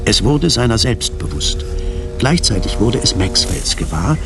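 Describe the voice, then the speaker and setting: A man narrating a scripted radio drama in a measured, literary storytelling manner. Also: mid-range voice.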